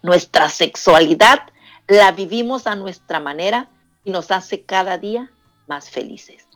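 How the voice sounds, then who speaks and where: A woman's voice: mid-range voice, animated, measured pace, faint music behind. A woman hosting a radio talk show, reading listener messages aloud in a playful, chatty way. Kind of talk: casual radio chat.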